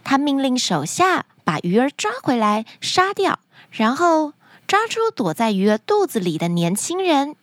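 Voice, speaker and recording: A woman tells stories for children with dramatic expression. Her voice is high and swings widely in pitch. The recording is dry and close. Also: very animated, measured pace, no echo. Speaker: children's story podcast host. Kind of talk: animated scripted storytelling for children.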